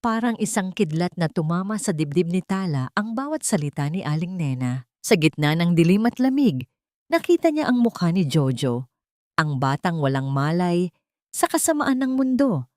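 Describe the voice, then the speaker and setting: Low-pitched woman narrating a dramatic story. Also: sing-song, normal speed, a little mellow, dry recording, clean studio sound.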